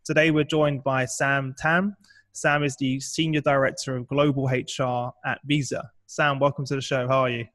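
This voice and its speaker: Guy hosting a podcast, mid-pitch voice, upbeat and chatty, stumbles and repeats a lot. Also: little pitch movement, moderate pace, a bit bright. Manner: upbeat, laughs often, repeats words. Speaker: podcast host. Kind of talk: casual interview hosting.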